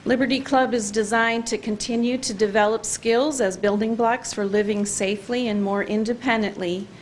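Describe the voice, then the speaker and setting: A woman's voice: on the high side, fairly even intonation, normal speed, slight background noise, low-quality recording. Hesitant mother presenting to council. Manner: hesitant, many fillers. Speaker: parent and program organizer. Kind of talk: public presentation at council meeting. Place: council meeting room.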